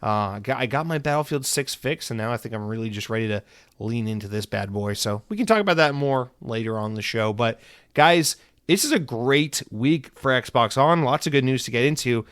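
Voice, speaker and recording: Casual male podcast host in his thirties, middle pitch, tired with a head cold, rambling and profane, dry close mic. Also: lively intonation, fairly quick, fairly bright tone, clean tone, no echo. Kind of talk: casual solo podcast chat.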